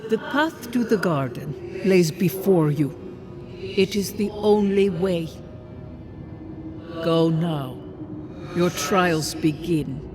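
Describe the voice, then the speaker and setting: An actor voicing a solemn ancient spirit guardian of a fallen people in a fantasy game, speaking formally and gravely. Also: medium pitch, natural voice. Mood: solemn, commanding. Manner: slow, grave, formal, archaic phrasing. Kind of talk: dramatic fantasy video game acting. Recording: game music under the voice.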